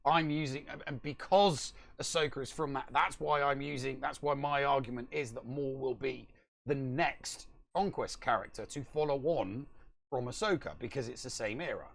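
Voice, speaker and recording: A man's voice: medium pitch, normal speed, vocal fry. A middle-aged man co-hosting a podcast from home over a video call, casually debating a video game. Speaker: podcast co-host.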